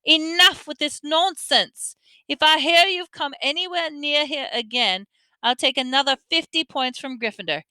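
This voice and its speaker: A woman's voice: high voice, big pitch swings, a little fast, bright, forceful tone, dry recording, pitched above the performer's own voice, heavily acted. A woman reading aloud, voicing a stern, strict older female professor with a clipped, reproving manner. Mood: furious.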